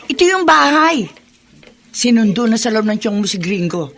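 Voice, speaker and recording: A woman's voice: fairly high pitch, very animated, normal speed, fairly bright tone. A woman playing a callous, threatening aunt in a radio drama, bossy and curt. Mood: impatient, menacing. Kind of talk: Filipino radio drama acting.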